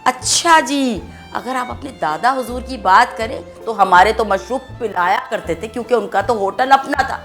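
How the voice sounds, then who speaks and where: A woman's voice: on the high side, moderate pace, fairly bright tone, faint music behind. An actress playing an indignant old nawab's wife, a haughty grandmother, scolding with flowery courtesy in a family comedy. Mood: offended, mocking. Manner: formal, flowery, scolding Urdu-style courtesy. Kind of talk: theatrical family comedy acting.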